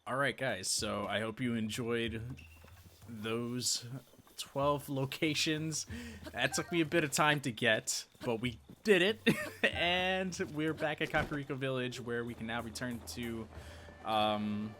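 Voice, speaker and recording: A man's voice: medium pitch, unhurried, bright, forceful tone, a little hiss or hum. A man giving casual game walkthrough commentary as the host of a gaming video. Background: game music.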